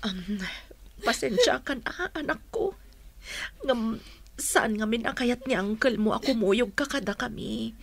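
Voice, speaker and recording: A sorrowful Filipino mother in a radio drama. Her voice is high, gentle and apologetic, with tender, emotional phrasing. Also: lively intonation, unhurried, vocal fry, heavily acted. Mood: apologetic, sad.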